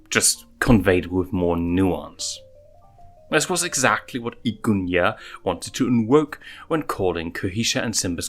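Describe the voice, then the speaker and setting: A man's voice: fairly low pitch, a bit bright. A man reading fan fiction aloud as a storybook narrator. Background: music.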